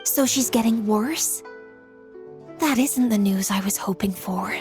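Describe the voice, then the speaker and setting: A woman's voice: medium pitch, unhurried, over music, close to an everyday voice. A woman playing a composed, dutiful young official in a video game, polite and precise. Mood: concerned.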